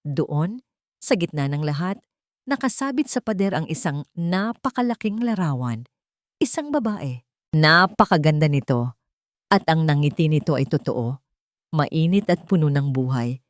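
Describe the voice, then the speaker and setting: A woman's voice: low voice, expressive, normal speed, long pauses, no room sound. A woman narrator reading a romantic drama in a scripted, dramatic storytelling style.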